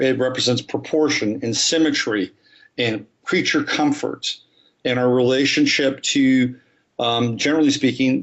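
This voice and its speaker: Man, astrologer guest, methodical explainer, mid pitch, muffled lo-fi sound. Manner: methodical, talks through examples step by step. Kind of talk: explaining in a podcast interview.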